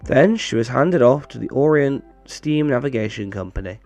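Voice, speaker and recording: A man presents in a dry, deadpan manner at an ordinary male pitch. His voice sounds a little off, as he is getting over a bad cold. Music plays behind him. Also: moderate pace. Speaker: video creator and narrator. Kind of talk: scripted narration with dry humour, casual outro.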